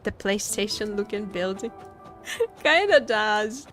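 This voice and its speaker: A woman's voice: medium pitch, lively intonation, slow, fairly bright tone, soft background music. A woman chatting casually as she livestreams a game, playfully repeating her phrases to viewers.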